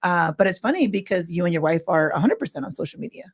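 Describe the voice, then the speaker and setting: A middle-aged woman with a raspy, loud voice, co-hosting a casual live stream chat and laughing often. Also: on the low side, lively intonation, fast talker. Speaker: co-host.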